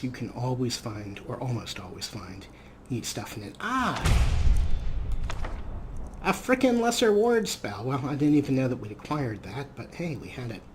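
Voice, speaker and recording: A man's voice: medium pitch, a little hiss or hum. A man giving casual let's play commentary in a chatty way, with playfully formal turns of phrase. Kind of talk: casual let's play commentary with some read-out game text.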